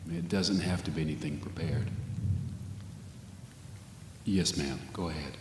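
A man playing a gentle, solemn memorial officiant in an audio drama, speaking in a measured, reflective way. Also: very deep voice. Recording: echoey hall, loud rain noise.

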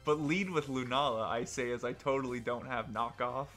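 A man bantering casually with friends while playing a video game, excitable, teasing and often breaking into laughter. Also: mid-range voice, moderate pace. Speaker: online video game player. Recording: game music behind the voice. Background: video game battle music.

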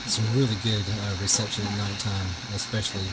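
Low-voiced man, casual halting demo. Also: level tone. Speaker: homestead vlogger. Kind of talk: casual hands-on demonstration. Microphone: handheld camera, speaker behind it. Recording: noisy handheld camera recording.

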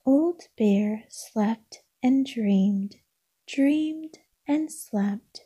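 Woman reading a bedtime story, real mellow and breathy, gentle and calming. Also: a little high, very slow. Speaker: storybook read-aloud narrator.

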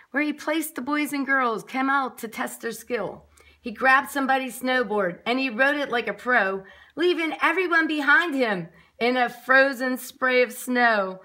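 Female early-years teacher reading a story to little ones: bright, slow, expressive. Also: fairly high pitch. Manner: expressive, with asides to children. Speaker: nursery school teacher. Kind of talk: picture-book read-aloud for young children. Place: classroom, indoors.

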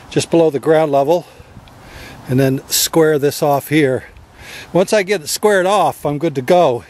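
A man's voice: fairly high pitch, darkish tone. A middle-aged man explaining his work step by step in a casual how-to style, recorded outdoors in a wooded yard. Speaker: DIY video host.

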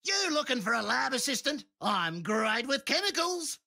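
A scrappy, manic young man from a video game. His voice is high and piercing, with a creaky, rough edge. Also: sing-song, moderate pace, pushed and bright, dry recording, studio quality, exaggerated voice. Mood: eager.

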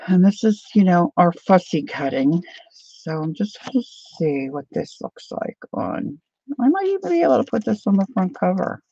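Soft-spoken crafting woman, gravelly warm tone. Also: mid-range voice, animated, no echo, dull audio. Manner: soft, unhurried, chatty, greets viewers. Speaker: craft live-stream host. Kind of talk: casual crafting live stream chat. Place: indoor craft table, overhead camera.

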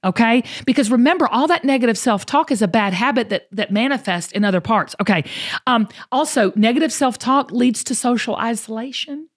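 Higher-voiced Southern woman, emphatic and folksy. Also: lively intonation, brisk. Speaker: show host. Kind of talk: motivational podcast talk.

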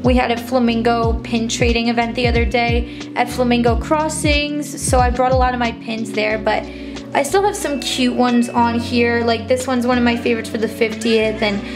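Raspy young woman giving a chatty tour. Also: fairly high pitch, level tone, moderate pace, music in the background. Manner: chatty, upbeat, rambling with fillers. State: congested from allergies. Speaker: college program intern. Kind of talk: casual room tour vlog. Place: small apartment bedroom. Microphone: handheld phone camera.

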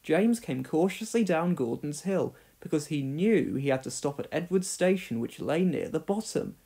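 A man narrates a children's storybook in a fairly high voice. His delivery is very lively, rising and falling through the scripted lines. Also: moderate pace, vocal fry, husky. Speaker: story reader.

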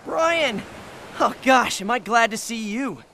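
An actor voicing a caring, anxious young penguin father in an earnest, encouraging way for an animated game. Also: very high-pitched, slow pace, vocal fry, a little hiss or hum, exaggerated voice.

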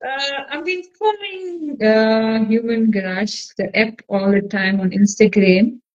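A woman's voice: a little high, darkish tone. Middle-aged Indian-born woman on a dull phone call. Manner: halting, with many fillers. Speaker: caller. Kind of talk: casual chat on a live video call. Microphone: phone camera in a video call.

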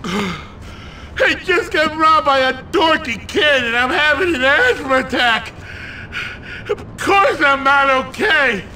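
A man's voice: extremely high pitch, lively intonation, slow, sharp tone, far from the mic, a little hiss or hum, full character voice, low-quality recording. A man playing a whiny, wheezy, indignant comic shop nerd in a video game. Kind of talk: video game cutscene acting.

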